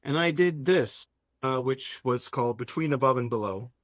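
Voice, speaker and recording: A man from Denmark whose first language is Danish talks in a casual, conversational way as an interviewed author. His voice sits at an average pitch, and the sound is very dry, with little room on it. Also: normal speed, dry recording.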